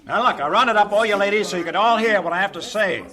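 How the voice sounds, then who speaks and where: Radio play captain: American, high forceful voice, blunt, impatient, barking. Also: male voice, quick pace. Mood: stern, irritated. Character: brusque, impatient troop ship captain. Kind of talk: radio drama acting.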